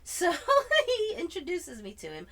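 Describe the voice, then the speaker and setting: A woman telling an animated anecdote in casual chat, theatrically acting out dialogue with a put-on British accent. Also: extremely high pitch, unhurried. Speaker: storyteller.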